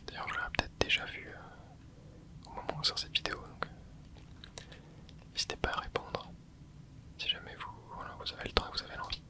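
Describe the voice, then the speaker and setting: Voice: a little fast, long pauses. A young adult ASMR host whispering casual, rambling chat while playing a video game, with many hesitant fillers. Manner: soft unvoiced whisper throughout. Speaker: ASMR gaming channel host. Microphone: close mic.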